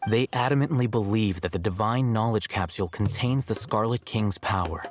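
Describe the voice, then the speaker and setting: Male game role, actor: young analytical scholar, low register, measured and matter-of-fact, over clear background music. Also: close to an everyday voice. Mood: measured, explanatory. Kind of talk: video game story dialogue.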